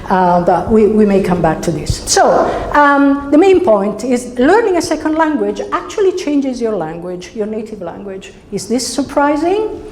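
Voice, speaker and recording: A woman's voice: a little high, sing-song, moderate pace, a little mellow. A middle-aged woman from Italy with an Italian accent gives a conversational academic lecture, phrasing hesitantly and checking in with her listeners. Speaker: university researcher giving a symposium lecture. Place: indoor lecture hall. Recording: roomy, reverberant hall with background noise.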